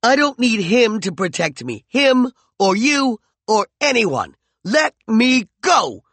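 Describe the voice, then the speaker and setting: A proud winged woman, quick to fury and sharp in her indignation, as a male narrator puts her on. The voice sits raised and swings widely, with a gravelly edge. The sound is dry and close. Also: on the high side, animated, no room sound, voice pushed up. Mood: furious.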